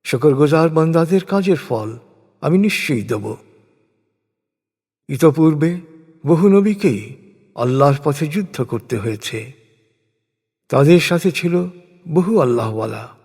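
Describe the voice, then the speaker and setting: A man gives a solemn, scripted reading of translated scripture in measured, declamatory phrases. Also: a little high, expressive, darkish tone, slightly rough. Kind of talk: solemn scripted religious recitation of translated verses. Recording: long hall-like reverb.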